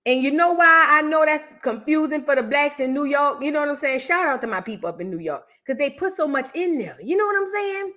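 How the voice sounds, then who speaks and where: A woman hosts a casual reaction stream, talking loudly and with emphasis, repeating her punchlines and calling on viewers by name. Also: high voice, expressive, fairly quick, few pauses. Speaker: livestream host reacting to history content.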